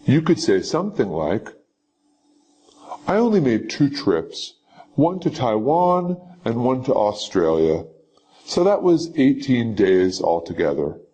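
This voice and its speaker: A man reading scripted sample answers for a citizenship interview in a slow, measured, instructive way. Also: medium pitch, very animated, dark tone, slight background noise. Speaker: teacher.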